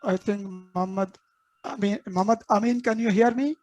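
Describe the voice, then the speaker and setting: A woman hosts an online meeting over a video call, calling on each attendee by name in a formal, measured way. Her voice sits at a middle pitch and sounds dry and close. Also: fairly even intonation, darkish tone, dry recording. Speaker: meeting host.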